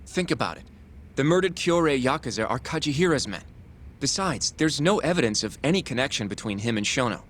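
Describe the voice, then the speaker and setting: A man acting a thoughtful, analytical character in a video game crime drama, reasoning politely and deliberately. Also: mid-range voice, big pitch swings, a bit bright, slight background noise. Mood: doubtful, pondering. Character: thoughtful, analytical man piecing together a case. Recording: music behind the voice.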